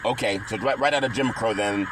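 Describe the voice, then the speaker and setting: A man hosting a sports talk radio show, animated and quick with interjections, asking a guest questions. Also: lowish voice. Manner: animated, chanting, laughs often, quick interjections. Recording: noisy radio broadcast.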